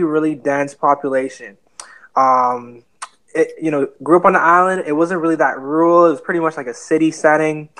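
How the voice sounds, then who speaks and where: A man's voice: a little high, rough recording. A man in his twenties with a Bahamian accent, talking earnestly as a guest on a podcast interview. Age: 20s. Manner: relaxed, earnest, many fillers. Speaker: guest real estate investor.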